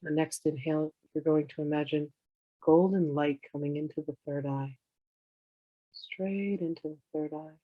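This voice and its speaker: A meditation teacher guiding in a soothing, unhurried way, with gentle instructions in drawn-out phrases. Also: mid-range voice, steady tone, no echo, highs cut off. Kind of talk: guided meditation, slow instruction.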